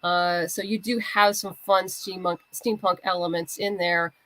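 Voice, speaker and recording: A woman's voice: fairly low pitch, fairly even intonation, a little slow, fairly bright tone, no room sound, low-quality recording. Woman guest, dry close sound. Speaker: guest, writer and creator. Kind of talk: casual interview conversation.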